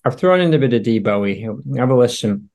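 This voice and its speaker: A man's voice: mid-range voice, lively intonation, fast talker, darkish tone. A man hosting a casual music discussion, feeling his way through his points with some hesitation. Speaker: podcast host.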